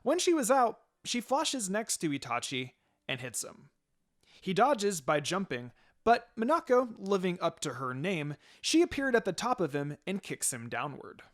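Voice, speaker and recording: A man's voice: high-pitched, sing-song, unhurried. A man reading fan fiction aloud as a dramatic story narrator.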